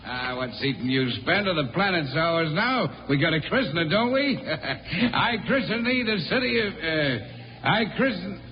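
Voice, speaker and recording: A man's voice: high voice, animated, measured pace. An American actor playing a brash, rowdy, boozy spaceship crewman in a 1950s radio drama. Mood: mocking, boisterous. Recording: muffled, noisy old radio recording.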